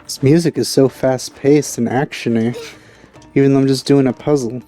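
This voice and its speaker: A man giving casual, low-key, offhand commentary as he plays a video game. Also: fairly even intonation, moderate pace, dark tone. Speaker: let's play gamer. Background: faint game music.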